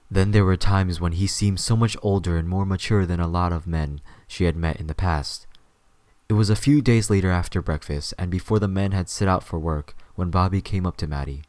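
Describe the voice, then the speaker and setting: A man's voice: low-pitched, a little mellow, dry recording. A man reading scripted story narration as the narrator of an audio drama.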